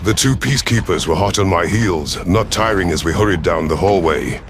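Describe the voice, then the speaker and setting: A man's voice: low voice, moderate pace, slight background noise. A man reading scripted first-person science fiction as a brooding, growling reptilian alien commander. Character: brooding, ruthless but conflicted male reptilian alien commander. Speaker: narrator of an audio story channel. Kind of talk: first-person scripted sci-fi narration in character.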